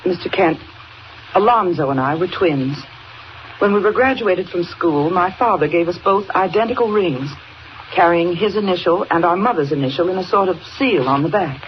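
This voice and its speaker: A woman's voice: fairly low pitch, expressive, normal speed, close to an everyday voice. An actress playing a worried, polite sister of a missing man in 1940s radio style, hesitant and earnest. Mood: anxious, polite. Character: anxious, polite twin sister of missing explorer. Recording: muffled, noisy 1940 radio recording.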